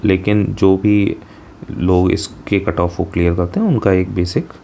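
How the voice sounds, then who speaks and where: A man's voice: deep voice, flat delivery, unhurried, creaky, mellow, slight background noise. An Indian man teaching in an informal explainer style, mixing Hindi and English and often adding short check-in tags. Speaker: exam coaching teacher. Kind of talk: informal explainer of a job notification.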